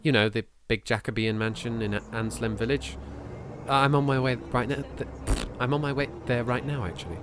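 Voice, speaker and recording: A man's voice: medium pitch, fairly even intonation, brisk, noisy background, lightly acted. A man voicing a friendly, slightly flustered young woman estate agent, with casual, hesitant delivery for a visual novel.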